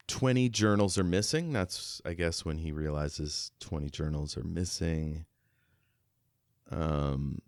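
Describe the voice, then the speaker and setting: Deep, smooth-voiced man co-hosting a podcast. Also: no echo, clean studio sound. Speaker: podcast co-host. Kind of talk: casual book discussion.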